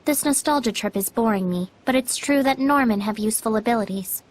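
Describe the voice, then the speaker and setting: A woman playing a sardonic, bossy, easily bored young female spirit in an animated game, sharp-tongued and teasing. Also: on the high side, fairly quick, close to an everyday voice. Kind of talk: animated game cutscene acting.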